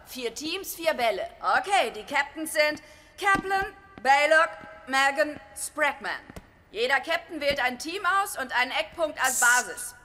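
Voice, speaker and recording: An actress playing a brash, no-nonsense gym teacher in a dubbed sitcom, brisk, blunt and bossy. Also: female voice, high voice, expressive, sharp tone, exaggerated voice. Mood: commanding. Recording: very noisy, busy crowd behind.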